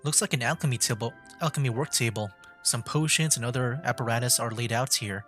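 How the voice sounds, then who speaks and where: A man's voice: medium pitch, brisk, a bit bright. A man livestreaming a game with casual, laid-back commentary, thinking aloud as he plays. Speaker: game streamer. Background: game music.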